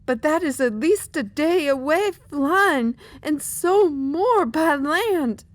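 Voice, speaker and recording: A woman's voice: high voice, animated, slow pace, no room sound. A woman playing a weary, hard-bitten female pony mercenary commander in an audio drama, speaking in an earnest, world-worn way. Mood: worried, earnest.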